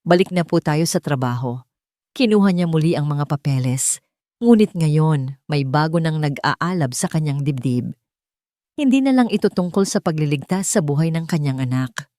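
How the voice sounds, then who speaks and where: Female story narrator: low register, soft dark tone, dry recording, scripted dramatic delivery. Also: animated, normal speed, no room sound. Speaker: audiobook narrator.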